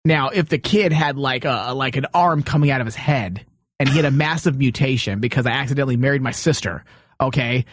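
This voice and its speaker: A man's voice: mid-range voice, fairly quick, dry recording, clean studio sound. A man co-hosting a talk show, debating casually and jokingly in an informal, rambling way.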